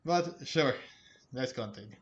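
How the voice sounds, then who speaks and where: A man's voice: mid-range voice, expressive, measured pace, slight background noise. A man giving casual let's play commentary over a game, musing and hesitant as he reacts. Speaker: gamer, let's play host. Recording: dull lo-fi mic over game music. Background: game music.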